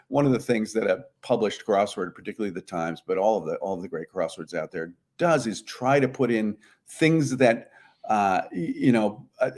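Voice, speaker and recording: A man's voice: medium pitch, darkish tone. A man speaking as an interview guest, giving conversational, explanatory answers. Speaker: guest, puzzlemaker.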